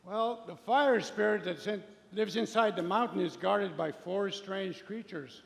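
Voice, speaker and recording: Brief: man as a wise storytelling elder, high for a man and gravelly. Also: a little slow. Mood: solemn. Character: wise drum-beating elder guide, male. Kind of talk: live stage play. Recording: stage voice in a reverberant hall.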